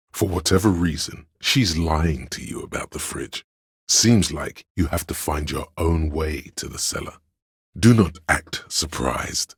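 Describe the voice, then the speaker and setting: An actor voicing a sly, theatrical inner voice in a video game, counselling his liege in grandiose, measured, gravelly and husky narration. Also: extremely low pitch, dry recording, studio quality, heavily acted. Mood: sly, urging.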